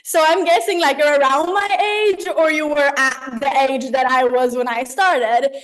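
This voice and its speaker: A woman, giving an informal, slangy motivational training on a video call in a chatty, animated way. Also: sits high, measured pace. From Sweden. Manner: chatty, animated, slangy, checks in with "right?" and "you know?". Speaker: mentor leading an online training.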